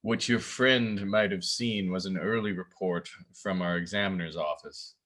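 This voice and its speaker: A man reading the part of a guarded, measured police investigator at a drama table read. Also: low-pitched, a bit bright. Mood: guarded, serious.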